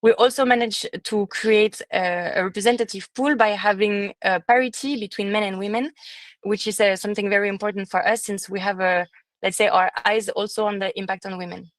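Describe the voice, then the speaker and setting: Woman presenting research, measured with fillers. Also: mid-range voice, steady tone, dry recording. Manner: measured, with frequent fillers and restarts. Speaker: student presenter. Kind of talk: academic conference presentation. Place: conference room.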